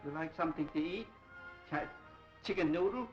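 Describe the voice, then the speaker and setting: A high-pitched, eager restaurant waiter played by a man speaks in short, broken phrases. The soundtrack is a dull 1930s film one, with faint music underneath. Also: moderate pace, lightly acted. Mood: eager, obliging. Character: eager, obliging male waiter in a Chinese eatery.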